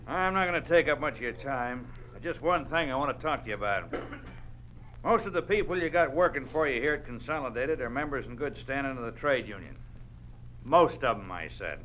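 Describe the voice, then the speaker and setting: Actor as a forceful American union boss. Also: male voice, medium pitch, lively intonation, fairly quick, lightly acted. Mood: stern. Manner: tough, folksy, intimidating. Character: menacing, blunt male trade union party boss. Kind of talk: 1950s radio acting. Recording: noisy old radio recording.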